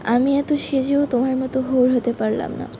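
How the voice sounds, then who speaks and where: A woman's voice: high-pitched, fairly even intonation, normal speed, background noise, close to an everyday voice. A woman playing a chatty, teasing young female cousin in an audio story, with a playful, gushing manner. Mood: teasing, admiring. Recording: dull lo-fi sound, music behind.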